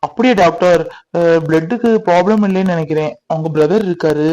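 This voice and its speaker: A man's voice: a little high, brisk, a little mellow, lo-fi sound, close to an everyday voice. A man playing a helpful young doctor in a family drama, polite, sprinkling in English words, with a southern Tamil Nadu accent. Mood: earnest. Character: apologetic, helpful young doctor among worried relatives.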